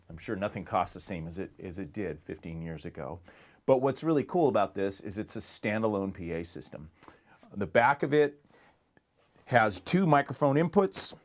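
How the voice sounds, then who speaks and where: Man, middle-aged, American accent, low-pitched voice, casual product presenter, chatty, rambling delivery. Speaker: music store product presenter. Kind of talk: casual unscripted product demonstration.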